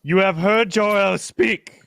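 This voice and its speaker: Woman playing a slow, stern council leader. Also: mid-range voice, creaky, fairly bright tone, clean tone, no echo. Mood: stern, formal. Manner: formal, measured, pronouncing. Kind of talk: live amateur reading of old radio script.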